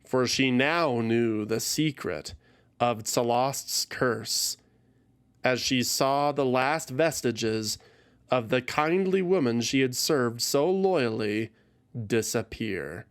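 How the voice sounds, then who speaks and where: A man reading scripted fantasy fiction as a narrator, in a solemn, deliberate and dramatic way. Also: medium pitch, expressive, smooth.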